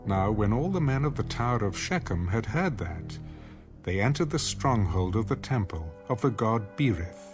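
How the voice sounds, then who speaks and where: Low-voiced man narrating scripture over music. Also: very animated. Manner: measured, solemn storytelling. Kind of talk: dramatized audio Bible narration. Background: background music.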